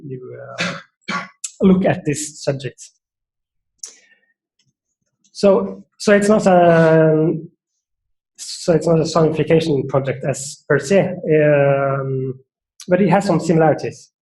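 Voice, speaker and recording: Norwegian-accented man, slightly high voice, seminar speaker, hesitant, lots of ums and restarts. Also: creaky, darkish tone, rough recording. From Norway. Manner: thoughtful, hesitant, many fillers and restarts. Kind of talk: academic seminar talk.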